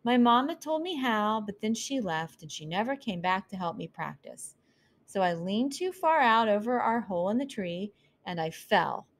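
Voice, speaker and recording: A woman's voice: medium pitch, expressive, moderate pace, lightly acted. A woman voicing a young, cranky, hungry boy owlet who whines and complains. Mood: whiny.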